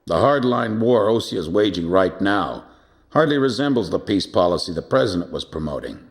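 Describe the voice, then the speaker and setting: An older air force mechanic, shrewd and calm, with a creaky, animated delivery. It is video game drama, heard in a roomy space under music. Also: male voice, low voice, normal speed. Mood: wry, knowing.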